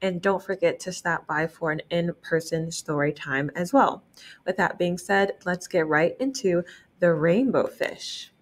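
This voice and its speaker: A young woman librarian reading a picture book aloud for children, enthusiastic and gently expressive, stopping to remark on the pictures. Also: on the low side, measured pace. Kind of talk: storytime read-aloud.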